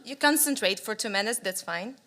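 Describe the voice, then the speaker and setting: A woman's voice: mid-range voice, fairly quick. A young woman with a Ukrainian accent speaking enthusiastically at a panel discussion in a conference room, using a table microphone. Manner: enthusiastic, animated, with gestures.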